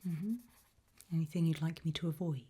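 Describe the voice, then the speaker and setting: Low, breathy whispering woman doing ASMR. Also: flat delivery. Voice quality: soft, breathy unvoiced whisper. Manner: polite, measured, formal questioning. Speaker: ASMR roleplay performer as a tailor.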